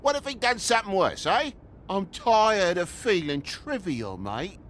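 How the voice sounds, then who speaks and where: An actor with a British accent voicing an aggrieved, slangy middle-aged toad, a shifty dad in a video game, whining and indignant. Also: male voice, sits high, big pitch swings, measured pace, fairly bright tone, a little hiss or hum, exaggerated voice. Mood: bitter, aggrieved. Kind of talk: dramatic video game dialogue.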